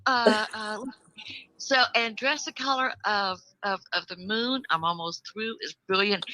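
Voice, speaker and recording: Bright-voiced New York woman calling in. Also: medium pitch, poor audio. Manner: chatty and playful, laughing often. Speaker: caller. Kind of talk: call-in question to a guest.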